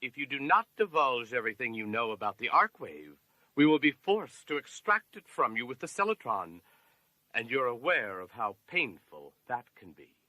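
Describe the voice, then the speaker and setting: An actor playing a menacing, cold baron in a sci-fi audio drama, speaking slowly and theatrically as he threatens. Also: mid-range voice, very animated, bright, forceful tone, no echo, rough recording. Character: menacing villainous baron, cold interrogator of a captive emperor.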